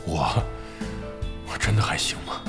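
An actor in a Chinese audio drama playing a proud, washed-up older gamer with a gruff, foul-mouthed, defensive manner. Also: male voice, unhurried, close to an everyday voice. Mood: wistful, doubtful. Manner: blustery, cursing, defensive. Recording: background music under the dialogue.

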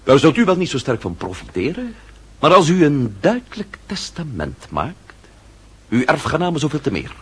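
An actor playing a proud, sardonic French marquis with a formal, mocking delivery in a period radio drama. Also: male voice, fairly high pitch, huge pitch swings, normal speed, husky, full character voice. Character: proud French marquis, sardonic, coolly self-possessed nobleman. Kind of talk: radio drama acting in period costume piece.

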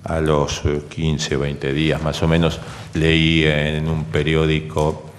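A man's voice: a bass voice, completely flat delivery, slow pace, a little hiss or hum. A man giving careful, hesitant interview testimony, qualifying what he says. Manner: careful, qualifying his statements. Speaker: interviewee, witness. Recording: echoey hall reverb.

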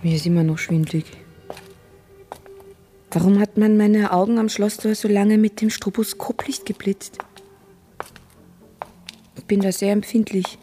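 An actress playing a bemused captive radio presenter, hesitant and dryly incredulous, in a radio drama. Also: female voice, medium pitch, fairly even intonation, a little slow, pauses often, warm tone, faint music behind, lightly acted. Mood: sceptical, complaining.

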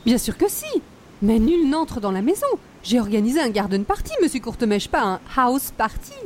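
A woman's voice: fairly high pitch, very animated, moderate pace. A woman playing an affected, snobbish older hostess with a Quebec French accent and precious, formal diction, in radio comedy style. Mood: prim, evasive. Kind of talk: old-time radio comedy acting.

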